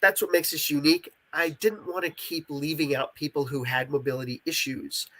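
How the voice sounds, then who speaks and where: Nasal, bright-voiced man chatting. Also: a little high, fairly even intonation, fairly quick. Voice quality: congested, nasal voice. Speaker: game creator, interviewee. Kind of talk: casual conversational interview.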